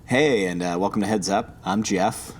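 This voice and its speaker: Deep-voiced man teasing and laughing. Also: normal speed, background noise. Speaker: podcast co-host. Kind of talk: casual chat.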